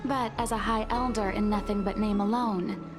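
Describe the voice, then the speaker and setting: A woman playing an earnest, dutiful maid in a video game, speaking formally and deferentially in measured phrases. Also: mid-range voice, fairly even intonation, natural voice. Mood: concerned, restrained. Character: earnest, dutiful maid pleading for her young mistress. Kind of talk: dramatic video game voice acting. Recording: music behind the voice.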